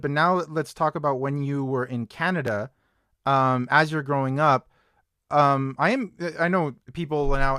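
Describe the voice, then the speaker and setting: A man's voice: mid-range voice, fairly bright tone, no echo, clean studio sound. A young man hosting a podcast, asking his guest casual, conversational questions. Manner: conversational, with fillers and restarts. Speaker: podcast host.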